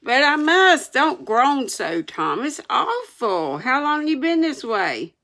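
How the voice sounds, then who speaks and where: A woman's voice: sits high, sing-song, very slow, a bit bright, pitched above the performer's own voice, full character voice. A woman voicing an anxious, pleading young boy in a storybook, urgent. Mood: alarmed, pleading. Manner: urgent, rustic dialect.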